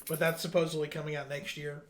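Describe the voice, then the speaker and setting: Higher-voiced man in a roomy space. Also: level tone, quick pace. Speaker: host of a video game news podcast. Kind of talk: casual news rundown and chat.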